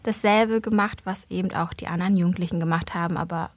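A woman in her thirties, a podcast guest, telling lively stories in a casual chat and laughing often. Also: fairly low pitch, quick pace, no echo, clean studio sound. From Germany, Berlin.

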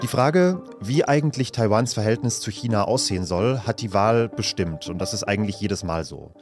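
A man hosting a news podcast, narrating a scripted report in a calm, measured way from an office. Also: on the low side, over music. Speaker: podcast host.

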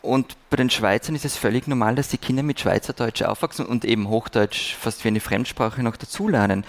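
A man's voice: mid-range voice, brisk. A man from Austria co-hosting a live panel chat, making dry, wry remarks. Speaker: podcast co-host.

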